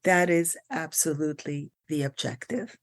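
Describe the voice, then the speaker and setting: A woman's voice: deep voice, moderate pace. A middle-aged woman from France, a guest on a podcast interview, speaking articulately and emphatically about teaching and directing actors. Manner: articulate and emphatic, builds long lists of phrases.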